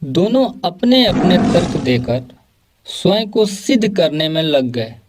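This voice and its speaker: A man's voice: on the high side, big pitch swings, measured pace, very noisy. A man reading scripted narration for a children's moral story.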